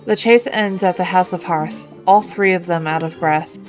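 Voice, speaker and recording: A woman's voice: lowish voice, normal speed, faint music behind. A woman reading scripted narration as the storyteller of a fan-written game quest.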